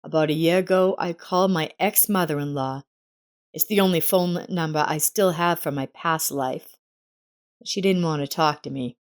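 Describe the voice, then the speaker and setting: A woman's voice: sits low, moderate pace, close to an everyday voice. A woman voicing a remorseful, anxious husband in a narrated family drama story. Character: remorseful, anxious husband confessing to his wife.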